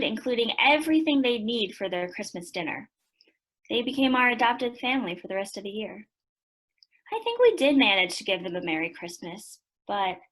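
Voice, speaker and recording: Radio play daughter and narrator, actress: earnest teen girl, medium-pitched voice. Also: fairly bright tone, poor audio. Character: earnest teenage daughter who narrates the family story.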